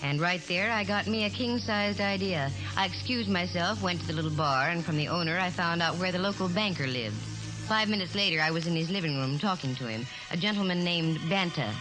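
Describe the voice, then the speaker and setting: A woman's voice: lowish voice, close to an everyday voice, low-quality recording. Wry actress as a 1940s radio lady detective. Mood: wry narration. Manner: wry, slangy, brisk. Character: wise-cracking, confident female private eye narrating her case. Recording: old 1940s radio recording, music behind.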